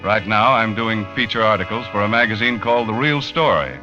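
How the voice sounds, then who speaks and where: A man's voice: on the low side, a little fast, lightly acted. An actor playing a matter-of-fact American magazine writer, narrating his investigation in an old radio drama. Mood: measured, factual. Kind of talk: 1940s-50s radio drama first-person narration. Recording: muffled vintage radio recording with music.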